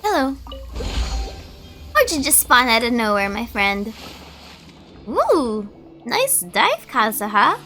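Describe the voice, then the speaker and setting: A woman's voice: on the high side, sing-song, slow pace, long pauses, sharp tone, faint music behind. A woman doing casual gameplay commentary, rambling and reacting in loose slang. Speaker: streamer playing and reacting to a game.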